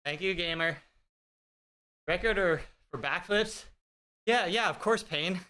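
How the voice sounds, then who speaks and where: A man's voice: high voice, unhurried, sharp tone. A man in his thirties chatting casually with his stream viewers while riding an indoor bike, joking and teasing himself. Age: 30s. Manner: joking, self-deprecating, chatty. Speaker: fitness streamer. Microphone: streaming desk mic with noise gate.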